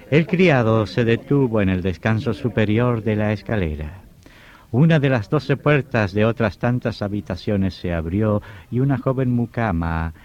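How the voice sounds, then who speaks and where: A man's voice: fairly low pitch, darkish tone. A man narrating a horror radio drama in a solemn, theatrical way. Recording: dull vintage radio recording, music behind.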